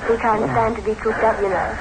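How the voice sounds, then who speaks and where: A woman plays a scheming wife in a vintage radio horror play. The voice is fairly high, coolly menacing and mocking, and sits in a very noisy old recording. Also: little pitch movement, fairly quick, close to an everyday voice. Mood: cold scheming. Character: cold, taunting scheming wife, a voice in his head.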